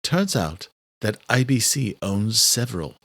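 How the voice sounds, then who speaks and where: A man's voice: lowish voice, big pitch swings, unhurried, gravelly, fairly bright tone, no echo, full character voice. A man voicing an earnest, worried doctor in a thriller audiobook, explaining with care and loyal concern. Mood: determined. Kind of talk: horror thriller audiobook character.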